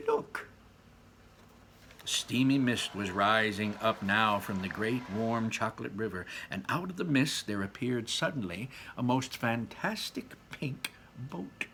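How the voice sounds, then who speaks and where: A male storyteller reads aloud in a very animated, mid-pitched voice. He leans on words dramatically and slips into chatty asides, with faint noise behind him. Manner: dramatic, emphatic, repeats phrases for effect.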